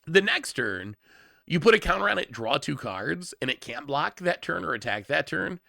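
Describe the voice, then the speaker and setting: A man with a gravelly, bright voice that climbs and dips a lot, talking casually on a livestream. His voice is strained and worn from congestion, and he often repeats himself while thinking aloud. The sound is dry and close. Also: on the high side, very animated, moderate pace, no echo. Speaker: streamer reviewing card spoilers.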